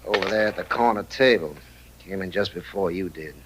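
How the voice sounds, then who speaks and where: An actor playing a shrewd, threatening saloon boss in an old-time radio western, with a sardonic, calculating manner. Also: male voice, sits low, fairly quick, a bit bright, husky, low-quality recording. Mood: dry, sardonic.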